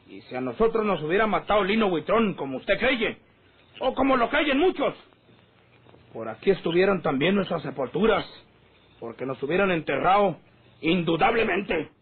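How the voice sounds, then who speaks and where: A man's voice: high voice, breathy edge, full character voice. An actor playing an insistent, placating hired gunman, earnest and persuasive, in a rural radio drama. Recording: noisy, narrow-band old radio recording.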